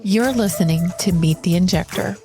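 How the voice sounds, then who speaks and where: A woman's voice: lowish voice, moderate pace, warm tone. A woman reading a scripted podcast introduction as its announcer. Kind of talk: scripted narration. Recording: music under the voice. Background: music.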